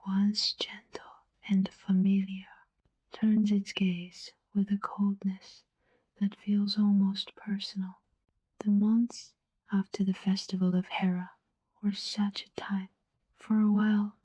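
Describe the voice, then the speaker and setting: A woman whispering a first-person literary narration in a hushed, solemn, ASMR-like way, with deliberate pauses, as a tender, wistful poet. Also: mid-range voice, husky. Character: female ancient Greek poet telling of forbidden love, tender, wistful.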